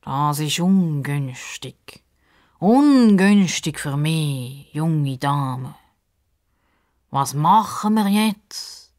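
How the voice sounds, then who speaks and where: Woman putting on a pompous, ponderous crocodile, very slow. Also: deep voice, lively intonation, a little mellow, exaggerated voice. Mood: grumbling, hesitant. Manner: ponderous, drawn-out, self-important speech.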